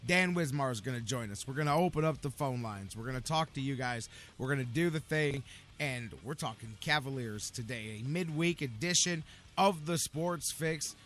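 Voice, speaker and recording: A man with a gravelly, sleep-short voice hosting a sports talk radio show, energetic and rambling with catchphrases. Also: medium pitch, normal speed.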